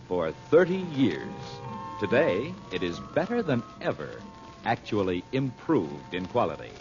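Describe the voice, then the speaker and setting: A male announcer from the golden age of radio, polished and theatrical, with big rises and falls in pitch. His voice is muffled by the old recording, and prominent music plays along. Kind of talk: 1940s-style radio announcing, scripted narration.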